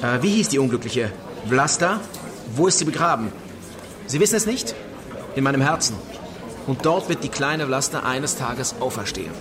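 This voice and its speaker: Over busy party chatter, an actor plays a sardonic man with a middling pitch. He needles and taunts slyly, with theatrical flourishes suited to a radio drama. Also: lively intonation, moderate pace, long pauses, close to an everyday voice. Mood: sly, taunting. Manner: sly, mocking, theatrical. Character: sardonic, needling male film-world acquaintance with sly taunts. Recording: busy crowd chatter behind.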